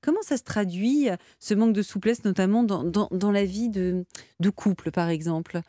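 Woman co-hosting radio chat, soft darkish voice, dry sound. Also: mid-range voice, normal speed, dry recording. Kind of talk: radio talk show hosting.

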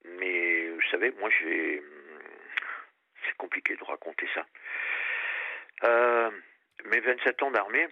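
A man's voice: low-pitched, no echo. Older male caller on a muffled phone line. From France, Périgord, grew up on Réunion. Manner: earnest, halting, repeats words. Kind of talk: phone-in radio call.

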